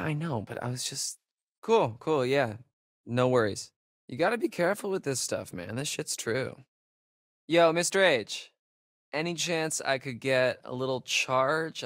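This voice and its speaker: An actor playing a wisecracking, jittery young American camp counselor in a horror video game, with slangy, hesitant, sarcastic delivery. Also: male voice, medium pitch, gravelly, no room sound, clean studio sound. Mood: laid-back. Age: young adult. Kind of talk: naturalistic horror game acting.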